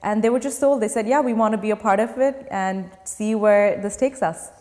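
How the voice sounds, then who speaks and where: A young woman from Dubai speaks as a startup founder in an interview, laying out her plans patiently and earnestly. Her voice sits at an ordinary middle pitch. A long reverb trails behind each phrase. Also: normal speed, a little mellow. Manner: earnest, explains step by step. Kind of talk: interview answers.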